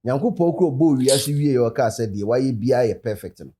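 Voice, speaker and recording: A man's voice: medium pitch, lively intonation, brisk, dark tone, a little hiss or hum. A man with a Ghanaian accent chatting casually as a panelist on a talk show, switching between English and local speech. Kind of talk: casual chat mixing English and Twi.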